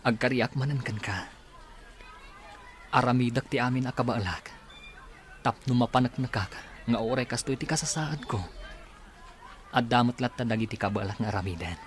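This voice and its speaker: Guy playing a humble grateful man in love, radio drama dialogue, medium pitch. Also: normal speed, long pauses, a little hiss or hum, natural voice. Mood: earnest.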